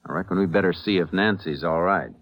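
An American actor playing a stern, steady Texas Ranger in a 1950s radio western, terse and businesslike. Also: male voice, deep voice, fast. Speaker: actor narrating and playing the lead. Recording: vintage 1950s radio drama recording.

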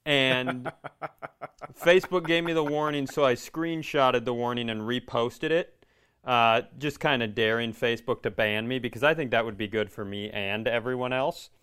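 Clear-voiced man riffing crudely on a podcast. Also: medium pitch, moderate pace, a bit bright. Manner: crude, joking, repeats phrases while riffing. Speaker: podcast co-host. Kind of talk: casual comedic banter.

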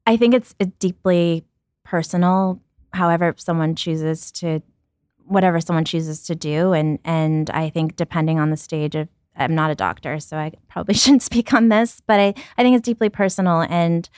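Low-voiced woman in her thirties. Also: normal speed, darkish tone, no echo, studio quality. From born in Ukraine, raised in Brooklyn, New York. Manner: reflective, frequent restarts, light laughs. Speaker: guest, company founder. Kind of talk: candid podcast conversation.

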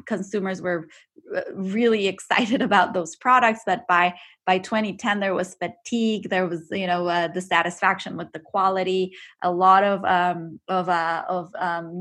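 A woman's voice: mid-range voice, a bit bright. A middle-aged woman from Ecuador, a company co-founder answering questions in a podcast interview, thoughtful and full of fillers and restarts.

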